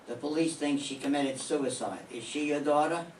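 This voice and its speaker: A man's voice: on the high side, normal speed, recorded from a distance, close to an everyday voice. Older man playing a hard-boiled detective, clipped blunt questions, echoey room, faint background noise. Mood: probing. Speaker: amateur radio drama performer. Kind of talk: live reading of a 1940s radio detective drama.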